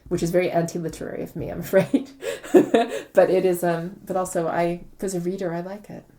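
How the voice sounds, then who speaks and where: A woman speaks as an interviewed author with a very mellow, warm tone. Her pitch sits a little low, and she explains her points articulately, one step at a time. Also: steady tone, brisk.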